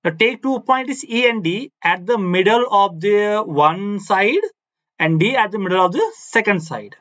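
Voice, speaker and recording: A high-pitched male physics teacher whose first language is Kashmiri, explaining step by step in simple English. He repeats himself for emphasis and keeps asking "no?" to check that students follow. The recording sounds slightly muffled and lo-fi. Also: animated, unhurried, fairly bright tone. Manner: repeats key phrases, asks "no?" for agreement. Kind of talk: blackboard physics lecture.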